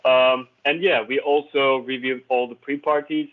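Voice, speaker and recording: A man's voice: mid-range voice, steady tone, moderate pace. A man hosting a casual group discussion, heard through a tinny, band-limited connection. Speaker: host.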